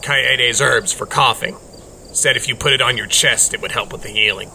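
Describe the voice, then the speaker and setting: A gruff young dog demon played by a man in a fan audio drama, with a gravelly, hard-edged voice. He talks haltingly, as if reluctant to show he cares, over a noisy home recording. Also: mid-range voice, pushed and bright. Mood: gruff, awkward concern. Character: gruff, awkward young male half-dog demon, secretly caring.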